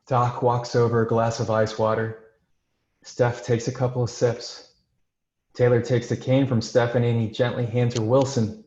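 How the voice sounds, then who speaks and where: A man's voice: mid-range voice, flat delivery, normal speed, darkish tone. A man narrating screenplay stage directions in scripted narration for a table read. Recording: echoey room, dull video call sound.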